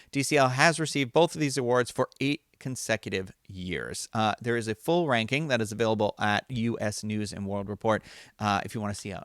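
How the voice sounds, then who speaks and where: A man's voice: mid-range voice, fairly bright tone, clean tone, dry recording, clean studio sound. A man hosting a news recap, reading prepared items in an informal, chatty way with casual asides. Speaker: host of a theme park news show.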